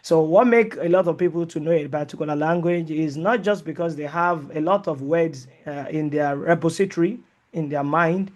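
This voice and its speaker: Halting man teaching, high-pitched. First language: not English. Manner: hesitant, many uh and um fillers; mixes in Arabic and Islamic terms. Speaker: Sharia teacher. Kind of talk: online lecture with live Q&A.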